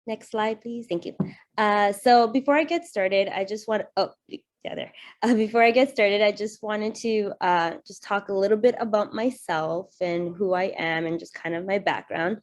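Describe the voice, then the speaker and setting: A woman's voice: mid-range voice, moderate pace. A young woman in her twenties, presenting on a video call in an earnest, conversational way. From Canada. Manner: earnest, conversational, personal storytelling. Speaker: youth program coordinator presenting. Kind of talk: webinar presentation.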